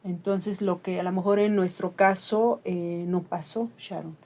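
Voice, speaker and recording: A woman's voice: on the low side, fairly even intonation, a little slow, darkish tone. A middle-aged Mixe woman with a Mixe accent and Ayuujk as her first language, talking earnestly and reflectively on a radio program, with frequent fillers. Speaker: radio host. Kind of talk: conversational radio talk.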